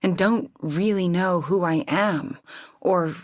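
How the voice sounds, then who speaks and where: Casting: video game sorceress heroine, young woman, lowish register, earnest and wistful, soft breathy delivery, dry studio sound. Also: slow, no room sound. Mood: wistful, reflective.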